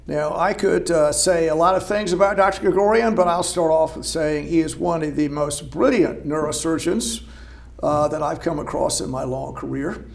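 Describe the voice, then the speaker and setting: An older man hosting the evening, introducing a guest in a courteous, unhurried way. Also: on the high side, creaky. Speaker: host. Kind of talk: formal introduction.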